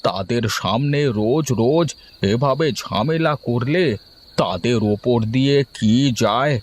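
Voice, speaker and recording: A man's voice: mid-range voice, lively intonation, slight background noise, natural voice. Man playing a worried old father. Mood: scolding. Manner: slow, scolding then yielding.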